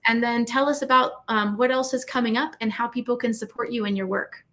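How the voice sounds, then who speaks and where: A woman's voice: on the high side, fairly even intonation, brisk, fairly bright tone. A woman hosting a live online talk, speaking fluently in an earnest and emphatic way.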